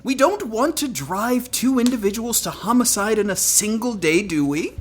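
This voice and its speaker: An actor playing a prim, genteel immortal gentleman adventurer in a comedy radio play. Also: sits high, animated, normal speed, husky. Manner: prim, mannered, soothing.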